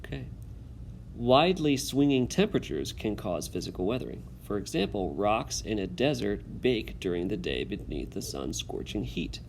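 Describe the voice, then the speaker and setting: A man teaching in an instructional video, reading a text aloud and pausing to give clear directions and check understanding. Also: on the low side, sing-song, darkish tone, slight background noise.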